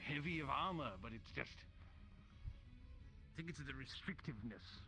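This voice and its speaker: A man's voice: medium pitch, animated, a little slow, sharp tone, soft background music, poor audio. A man speaking casually in character in a roleplay game, with an apologetic, hesitant manner. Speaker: roleplay player.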